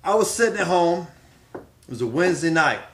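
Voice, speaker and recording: A man's voice: a little high, lively intonation, fairly quick, a little hiss or hum. A middle-aged man, speaking as a pro wrestler making his case with dramatic, emphatic repetition. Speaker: professional wrestler. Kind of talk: promotional speech answering questions.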